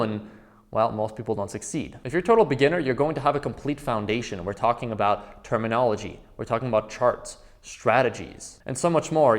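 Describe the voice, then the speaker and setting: Persuasive young man pitching. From Quebec, Canada. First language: French. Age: 20s. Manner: emphatic, persuasive, direct address to viewer. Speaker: trading educator. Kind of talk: promotional pitch to camera.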